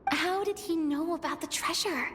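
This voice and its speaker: A woman's voice: very high-pitched, normal speed, a bit bright, slight background noise. An actress playing an earnest, questioning young woman adventurer in a fantasy game. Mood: surprised protest. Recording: long hall reverb, music behind.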